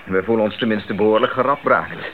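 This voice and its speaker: A man's voice: fairly low pitch, a little fast, lightly acted. Hesitant young expedition man, played by an actor. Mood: doubtful. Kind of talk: Dutch radio drama acting. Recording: muffled, noisy old radio play recording.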